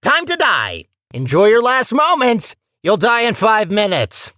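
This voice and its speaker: A man's voice: sits high, huge pitch swings, measured pace, sharp tone, dry recording, studio quality, full character voice. A man voicing a talkative robot core in a video game, a petty, scheming villain with a sarcastic, theatrical and condescending manner. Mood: menacing, exasperated. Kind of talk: video game character acting.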